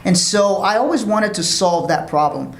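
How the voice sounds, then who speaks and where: A man tells his life story as an interview guest. He speaks earnestly and restarts phrases as he thinks. His voice is slightly high, and the room has a noticeable echo. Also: expressive, moderate pace, creaky. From Liberia. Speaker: guest, financial professional.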